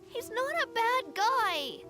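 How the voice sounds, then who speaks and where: A woman's voice: very high voice, sing-song, slow, sharp tone, full character voice. A woman voicing a small, earnest and stubbornly loyal child with a piping voice in a video game. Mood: protesting, pleading. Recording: prominent game music behind.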